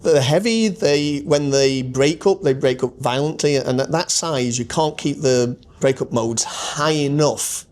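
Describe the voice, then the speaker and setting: A man's voice: medium pitch, a little slow, hardly any pauses, a little mellow. A man in his sixties with a mid-range voice gives a conversational technical explanation. He sounds like a designer in an interview, asking himself questions and then answering them into a handheld mic. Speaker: loudspeaker designer being interviewed. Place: hotel demo room at an audio show. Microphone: small handheld mic.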